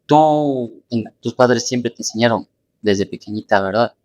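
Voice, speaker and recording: Man, podcast host, mid-pitched voice, casual interviewing, very dry sound. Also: unhurried, darkish tone, dry recording.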